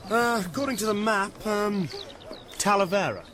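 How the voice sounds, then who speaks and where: Man as high-voiced easygoing army officer. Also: moderate pace, close to an everyday voice. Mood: matter-of-fact. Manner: clipped, good-humoured orders. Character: easygoing young British junior officer. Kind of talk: historical radio drama acting.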